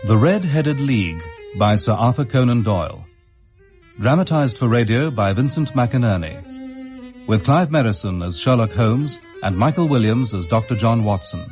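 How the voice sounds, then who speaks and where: A man delivers a scripted radio announcement introducing a drama. His voice is mid-pitched, with soft violin music playing behind it. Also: expressive, moderate pace.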